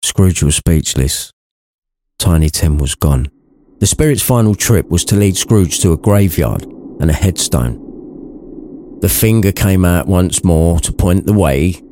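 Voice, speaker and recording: A man's voice: low-pitched, expressive, measured pace, dark tone. A man narrating a story in an irreverent comic style, with wry, sweary asides. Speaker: narrator, podcast reader.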